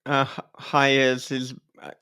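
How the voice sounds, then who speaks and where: A man hosting a casual, joking interview in a playful, giggly and halting manner. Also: mid-range voice, monotone, bright, forceful tone, clear, resonant tone. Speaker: podcast host.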